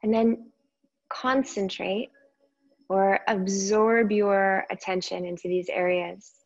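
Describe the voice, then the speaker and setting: A woman yoga teacher guides a meditation in a mid-pitched voice. She speaks slowly and gently, leaving long gaps between short phrases. The recording is somewhat muffled and lo-fi. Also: fairly even intonation.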